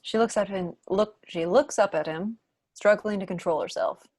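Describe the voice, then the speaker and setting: A woman's voice: medium pitch, moderate pace, a little mellow, no room sound. A woman reading scripted stage directions as the narrator of a table read on a video call. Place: indoor room on a video call.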